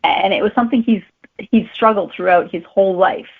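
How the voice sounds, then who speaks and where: A woman's voice: medium pitch, a little fast, gravelly, slightly rough. A woman talking casually as an author being interviewed. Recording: tinny phone-like line.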